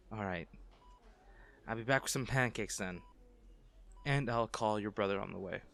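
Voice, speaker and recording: A man playing a kind, bashful stranger in an intimate audio roleplay, speaking gently and playfully with some flustered hesitation. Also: fairly low pitch, unhurried, vocal fry. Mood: caring, playful. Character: kind, bashful male stranger comforting an injured crash survivor.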